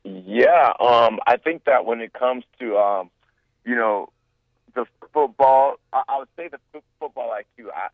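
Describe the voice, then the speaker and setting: A man's voice: mid-range voice, a little slow, dry recording. A young man from Zimbabwe, a guest on a talk show, answering in earnest, rambling sentences with many restarts. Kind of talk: phone-in interview. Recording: tinny phone line.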